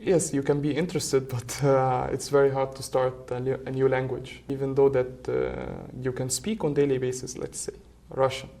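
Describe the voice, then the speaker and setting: Creaky-voiced young man, native Arabic speaker. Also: mid-range voice, flat delivery, moderate pace, hardly any pauses, warm tone. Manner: hesitant, many filler uhs. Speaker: podcast guest. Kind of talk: casual conversation. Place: podcast studio.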